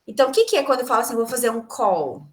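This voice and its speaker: High-voiced woman teaching an online class. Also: big pitch swings, very fast, a little mellow, slight background noise. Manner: explanatory, asks students questions and answers them. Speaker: English teacher.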